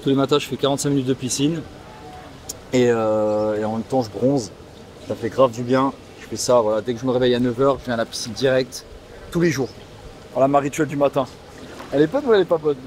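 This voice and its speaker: Man with a Luxembourgish accent, halting. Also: mid-range voice, darkish tone, roomy sound, slight background noise. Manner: halting, restarts phrases often. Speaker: vlogger answering fan questions. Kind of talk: casual Q&A chat.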